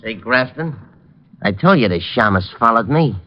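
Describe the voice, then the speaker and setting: A man's voice: medium pitch, expressive, normal speed, natural voice. An actor playing an impatient, sadistic gangster henchman, menacing and insistent, in a 1940s radio crime drama. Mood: vengeful, menacing. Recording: muffled old radio broadcast.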